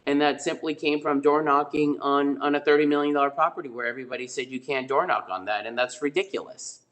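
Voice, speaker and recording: A man's voice: a little high, quick pace, fairly bright tone. A middle-aged man, a real estate agent who grew up in Spain, telling stories from his career on a webinar in a rambling way, with many fillers and restarts, over a video call. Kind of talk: webinar guest storytelling.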